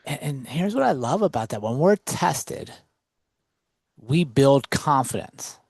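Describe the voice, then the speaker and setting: A man's voice: mid-range voice, big pitch swings, a little slow, gravelly, darkish tone, husky, dry recording. A man hosting a podcast, affirming and encouraging, summing up what his guest says. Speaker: podcast host. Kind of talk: interview chat.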